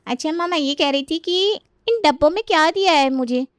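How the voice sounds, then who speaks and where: A woman's voice: high voice, lively intonation, brisk, no room sound, studio quality. A woman voicing an eager, affectionate married daughter in a story. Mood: mildly complaining.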